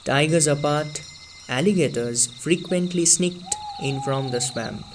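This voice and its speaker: A man reads a storybook tale aloud, unhurried and deliberate. His voice sits a little high and drops lower for suspense. Crickets and insects are clearly heard behind him. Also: background noise. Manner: slow, deliberate and expressive, sinking low for tense moments. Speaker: story reader. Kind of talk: storybook read-aloud narration.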